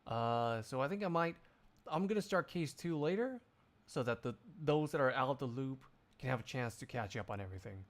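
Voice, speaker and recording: A man's voice: medium pitch, lively intonation, a little fast. A man live streaming, chatting casually and hesitantly as he thinks aloud. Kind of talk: casual chat.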